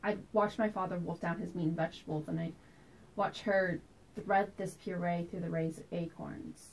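A woman performing a theatrical monologue as a wistful, anxious woman recalling tense family dinners from her childhood, told deliberately with dramatic pauses. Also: fairly low pitch, fairly even intonation, gravelly, slight background noise, rough recording. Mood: wistful, uneasy.

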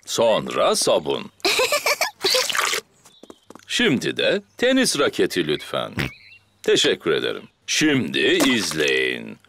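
Very animated cartoon daddy pig. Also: male voice, low voice, vocal fry, noisy background, heavily acted. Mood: instructing. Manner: expressive, jolly, encouraging. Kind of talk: children's cartoon dubbing. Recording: music behind the voice.

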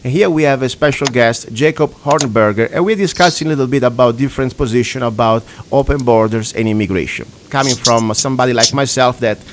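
A man's voice: mid-range voice, fairly quick, hardly any pauses, background noise. A man hosting a talk radio show, asking frank, conversational questions. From Italy. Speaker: radio host.

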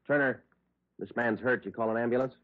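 An actor playing a terse, businesslike American police sergeant, narrating his case in a deadpan old radio crime drama style. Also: mid-range voice, fairly quick, no room sound, natural voice. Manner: clipped, deadpan, matter-of-fact. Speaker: detective narrator. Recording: muffled old radio broadcast, music behind.

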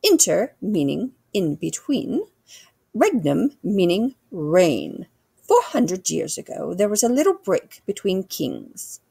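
A woman's voice: medium pitch, wildly swooping pitch, takes it slowly, gravelly, mellow, breathy edge, no echo, exaggerated voice. A woman voicing a haughty, pedantic older English queen in a storybook, with a pompous, formal way of talking. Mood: lecturing. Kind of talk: children's storybook character voice.

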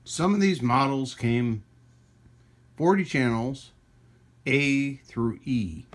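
A man hosting a hobby radio channel in a casual, rambling hands-on demonstration, with folksy trailing asides and a husky voice. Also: mid-range voice, slow pace. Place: indoors at a radio workbench.

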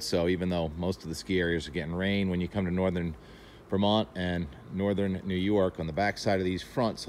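A low-voiced man chats easily about the weather as he walks his dog, talking into a handheld phone. He is outdoors at a mountain resort at dawn, with faint outdoor noise behind him. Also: darkish tone. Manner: easygoing, chatty, talks while walking the dog. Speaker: weather forecaster and vlogger. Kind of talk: casual walking weather vlog.

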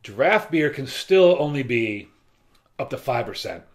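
A man in his thirties co-hosting a beer review, explaining things in a measured, casual way with dry humor. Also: mid-range voice, big pitch swings. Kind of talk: casual chat. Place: small room lined with beer shelves.

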